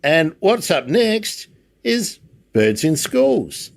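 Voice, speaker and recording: A man's voice: a little high, very animated, extremely slow, vocal fry. A man hosting a casual interview, asking questions in an informal way and feeling out his phrasing. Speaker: interviewer, livestream host.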